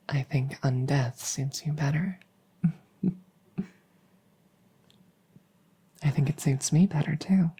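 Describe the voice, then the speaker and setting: A woman playing a devoted, doting vampire maid in a soft-spoken ASMR roleplay, gentle and deferential, often slipping into a whisper. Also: deep voice, breathy edge, heavily acted. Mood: adoring, attentive. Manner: gentle, unhurried, deferential, formal.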